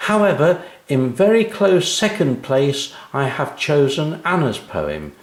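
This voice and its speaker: A man voicing a kindly, encouraging female schoolteacher in a children's story, measured and reassuring. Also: on the high side, sing-song, roomy sound, voice pushed up.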